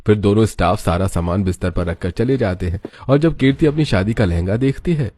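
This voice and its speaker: A man's voice: on the low side, fairly quick, mellow. A man narrating a scripted cartoon story as its storyteller.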